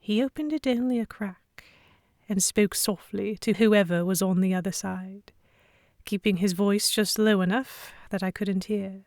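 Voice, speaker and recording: A woman narrating a scripted first-person audio drama in an expressive, dramatic storytelling manner. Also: mid-range voice, a little slow, no echo, clean studio sound.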